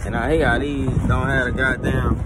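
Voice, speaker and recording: A man's voice: mid-range voice, flat delivery, normal speed. A young man, a truck driver, talking casually to viewers through a phone held at arm's length in his cab. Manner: laid-back, talks to viewers as "y'all". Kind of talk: casual vlog talk to camera. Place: inside a truck cab, then outdoors by the trailer. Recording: loud road and engine noise. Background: road and vehicle noise.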